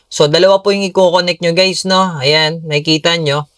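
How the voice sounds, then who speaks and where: Filipino man, higher-pitched voice, casual tutorial. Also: steady tone, moderate pace, a bit bright, no echo, clean studio sound. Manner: conversational, often addressing viewers as guys. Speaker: product reviewer and tutorial presenter. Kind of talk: casual upbeat tech tutorial.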